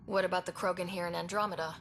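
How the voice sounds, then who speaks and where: A woman's voice: on the low side, fairly even intonation, very fast, fairly bright tone, slight background noise. A woman playing a young, inquisitive space explorer in a video game, asking questions directly. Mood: attentive. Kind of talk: video game cutscene dialogue.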